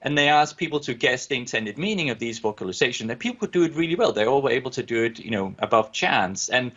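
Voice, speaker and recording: A man with a Danish accent answers interview questions at a middling pitch. He hesitates often, with fillers and restarts. The audio is dull and lo-fi. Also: expressive, fast talker, a bit bright. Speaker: guest researcher.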